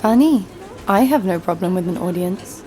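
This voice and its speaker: A woman's voice: lowish voice, animated, normal speed, mellow, close to an everyday voice. A woman playing a fearless, sardonic bounty hunter who tells her own story with dry, snarky delivery in an audio drama. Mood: defiant taunting. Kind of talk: scripted first-person audio drama narration. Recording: music under the voice.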